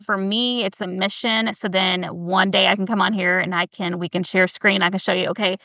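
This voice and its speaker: A woman with a Southern US accent, a health professional talking through a podcast interview on a video call, animated and firing off rhetorical questions. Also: mid-range voice, brisk, vocal fry, no echo. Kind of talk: casual podcast conversation.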